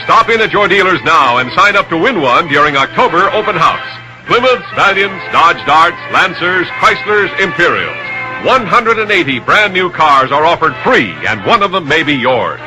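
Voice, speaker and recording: Lively American radio ad man over music. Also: on the high side, normal speed, rough recording. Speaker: commercial announcer. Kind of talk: 1960s radio advertisement, scripted pitch. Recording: vintage 1961 radio recording.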